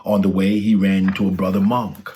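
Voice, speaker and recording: A man with a low-pitched voice narrates a storybook for children. He reads from a script, as a storyteller reading aloud. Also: level tone, brisk, warm tone.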